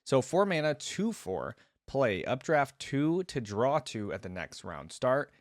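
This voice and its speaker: A man's voice: medium pitch, big pitch swings, unhurried, no room sound, clean studio sound. A man hosting a reaction video, giving casual, chatty commentary and musing aloud.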